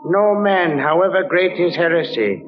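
An actor playing a solemn, merciless Grand Inquisitor in an old radio drama, measured and declamatory. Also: male voice, a little high, lively intonation, music in the background, close to an everyday voice. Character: solemn, commanding Spanish Grand Inquisitor priest, coldly merciless. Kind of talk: 1940s-50s radio drama acting. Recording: dull old radio recording.

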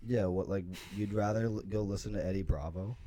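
A man's voice: fairly low pitch, fairly quick, warm tone, a little hiss or hum. A man co-hosting a podcast in casual chat, joking around, laughing often and trailing off with fillers. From America. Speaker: podcast co-host.

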